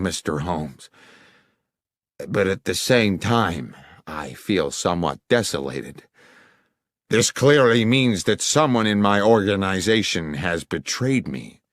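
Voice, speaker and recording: A man voicing a wealthy scheming businessman in an audiobook, with a halting, theatrical manner full of wounded dignity. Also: sits low, expressive, no echo, clean studio sound. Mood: stricken. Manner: halting, theatrical, self-pitying or indignant.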